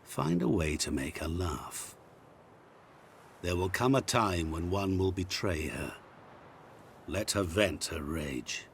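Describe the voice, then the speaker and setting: A sinister, slow-talking deep-voiced dealmaker. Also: male voice, creaky, a little hiss or hum, heavily acted. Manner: measured, deliberate, theatrical phrasing. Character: sinister otherworldly bargain-making man, calm, sly and knowing. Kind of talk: video game dialogue acting.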